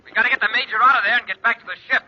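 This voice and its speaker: A man's voice: very high-pitched, steady tone, racing. An American man playing a decisive, urgent space patrol commander in a 1950s radio adventure serial. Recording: dull, noisy vintage radio recording.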